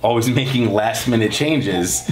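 A man's voice: mid-range voice, measured pace, a crowd in the background. A man chatting casually and joking about production trouble in an office editing room. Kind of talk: casual behind-the-scenes chat.

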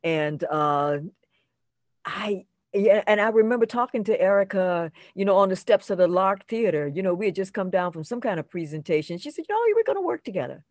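An older woman, an actress being interviewed on a video call, reflecting conversationally, halting and repeating words for emphasis. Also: mid-range voice, lively intonation, a little mellow, dry recording. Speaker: actress guest.

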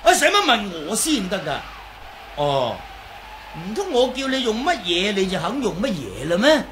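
A garrulous old martial artist, with a bright high voice. He boasts and teases in unhurried Hong Kong Cantonese, in 1980s radio drama style, on a slightly noisy recording. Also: huge pitch swings, exaggerated voice. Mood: chatty, amused. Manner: chattering, teasing, drawn-out.

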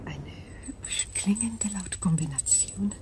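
An actress playing an ancient alien crystal being in a radio play, calm and coolly logical, speaking in measured, formal phrases. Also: female voice, mid-range voice, whispered. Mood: detached, explanatory. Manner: measured, formal, precise diction. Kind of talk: German radio drama acting.

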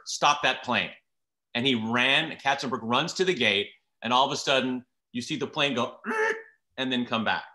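A man's voice: medium pitch, sharp tone. A man in his fifties, a TV producer, explaining things casually on a video call from his living room, telling stories and giving examples. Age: 50s. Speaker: TV producer guest.

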